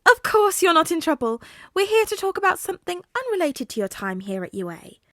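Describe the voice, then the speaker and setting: A woman's voice: very high voice, very animated, fairly quick, pushed and bright, no room sound, clean studio sound, pitched above the performer's own voice, heavily acted. A woman voicing a polite, formal little male school principal in a story reading. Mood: apologetic. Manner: formal, courteous.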